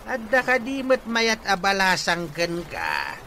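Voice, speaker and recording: A man's voice: sits high, huge pitch swings, measured pace, sharp tone, exaggerated voice. A man with a northern Philippine accent plays a concerned, nagging father in radio comedy drama, exclaiming and admonishing. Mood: exasperated. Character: concerned, nagging father advising young men. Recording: faint background noise.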